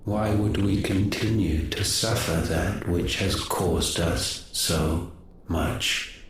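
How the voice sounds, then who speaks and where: A man's voice: sits low, steady tone, slow pace, slight background noise. A man voicing an ancient, godlike game villain who speaks in solemn, haughty, archaic pronouncements, cold and contemptuous of mankind. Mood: cold disdain. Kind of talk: dramatic video game voice acting. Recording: heavily echoing voice under loud music.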